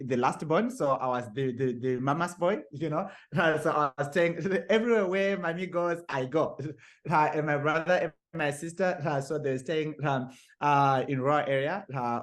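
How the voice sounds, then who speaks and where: A young man from Zimbabwe, a guest on a podcast, who talks haltingly with many fillers, repeated words and frequent laughs. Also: a little high, fairly bright tone. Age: young adult. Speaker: guest author. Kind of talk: podcast interview.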